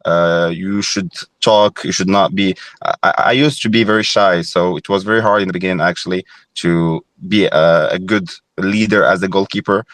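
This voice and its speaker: Young man from Morocco, very low voice, dry close sound, hesitant, reflective. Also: steady tone, moderate pace, a bit bright, no echo. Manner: thoughtful, hesitant, many fillers and restarts. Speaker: former goalkeeper, guest. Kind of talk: reflective podcast interview.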